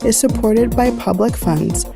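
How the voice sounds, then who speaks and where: A woman's voice: on the high side, unhurried, vocal fry, over music. A woman reading scripted podcast credits as an announcer.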